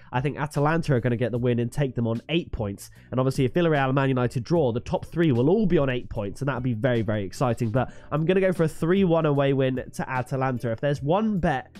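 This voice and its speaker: A man's voice: medium pitch, darkish tone. A man with a stuffy nose from a cold, chatting confidently through his football predictions as the host of his own channel. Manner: chatty, confident, hypes the fixtures. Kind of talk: solo vlog match predictions.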